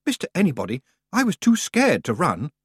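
A man's voice: fairly high pitch, wildly swooping pitch, brisk, husky, no echo, pitched above the performer's own voice, heavily acted. An earnest young boy hero, voiced by a man, keeping his nerve with a firm edge. The voice sits well up and moves about a lot, close and dry. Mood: uneasy. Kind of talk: audiobook character voice.

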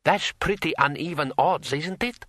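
A man's voice: on the high side, moderate pace, a bit bright, no room sound, clean studio sound, pitched above the performer's own voice, lightly acted. A man voicing a haughty male dragon lord in a fantasy audiobook, firm and scornful. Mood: incredulous.

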